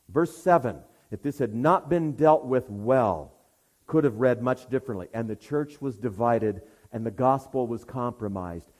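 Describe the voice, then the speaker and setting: Male preacher with widely swinging pitch. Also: a little mellow. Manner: emphatic, rhetorical questions, dramatic pauses. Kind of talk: sermon, expository Bible preaching.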